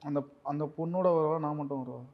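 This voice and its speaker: A man's voice: on the high side, fast talker, very noisy. A man chatting casually on a phone call in a hesitant, innocent-sounding way. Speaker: husband making a prank phone call. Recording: dull band-limited sound, music behind.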